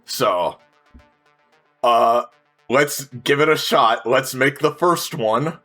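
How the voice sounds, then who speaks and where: Bright-voiced man talking through a tabletop game. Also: mid-range voice, takes it slowly, soft background music. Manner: thinks aloud, repeats and corrects words, swears casually. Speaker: player in a tabletop role-playing game show. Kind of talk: casual game play talk with narration of own character.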